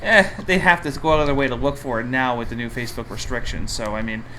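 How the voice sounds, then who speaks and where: A male podcast host with a mid-pitched voice, chatting casually and reacting with animation. He is from Canada. There is clear room noise behind him. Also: fast, sharp tone, low-quality recording. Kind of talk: casual interview chat.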